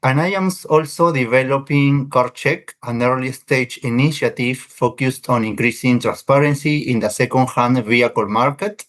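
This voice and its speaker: A man's voice: mid-range voice, fairly even intonation, normal speed, few pauses, fairly bright tone. Guy from peru, business student, formal question on a call, medium voice. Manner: formal, carefully phrased question. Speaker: MBA student and operations lead.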